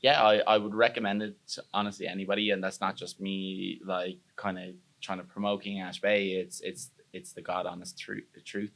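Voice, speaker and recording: A man's voice: sits low, flat delivery, moderate pace, vocal fry, few pauses, a bit bright. A young Irish man talking as a podcast guest, earnest and rambling, with frequent restarts. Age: young adult. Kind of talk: casual interview chat.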